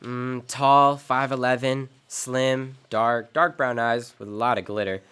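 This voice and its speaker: A man's voice: mid-range voice, steady tone, measured pace, a bit bright, smooth, natural voice. An actor playing a world-weary, wry private detective in an old-time radio drama, narrating and talking through his case. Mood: probing, firm.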